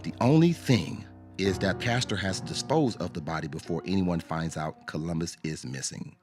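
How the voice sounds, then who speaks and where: Male narrator, deep register, scripted radio drama storytelling over a music bed. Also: lively intonation, moderate pace.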